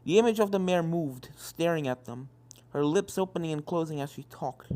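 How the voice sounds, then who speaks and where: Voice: medium pitch, moderate pace, dark tone. A narrator reading an adventure story in scripted narration, with music playing behind. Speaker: fan fiction narrator.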